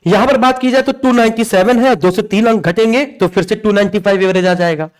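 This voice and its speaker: A man's voice: high-pitched, a little fast. A man giving an informal, explanatory lesson to students as a coaching teacher, emphatic and repetitive, often checking in with tag questions. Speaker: exam coaching teacher presenting a video.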